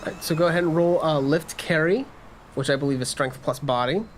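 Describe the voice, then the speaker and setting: A man's voice: on the high side, brisk, slight background noise. A man acting as game master, casually narrating a tabletop game and explaining rules.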